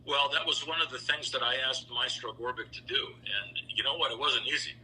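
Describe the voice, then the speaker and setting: A middle-aged man telling stories over a video call, relaxed and rambling with lots of fillers. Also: medium pitch, a little fast, slight background noise, rough recording. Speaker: interview guest, founder of a choral institute. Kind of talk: casual storytelling interview.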